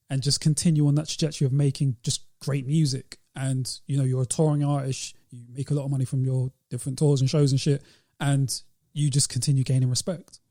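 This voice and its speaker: A man's voice: mid-range voice, dark tone. A man with a London accent co-hosting a podcast, talking casually about music in a panel chat. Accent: British, London. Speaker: podcast co-host. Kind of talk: casual panel discussion of hip-hop.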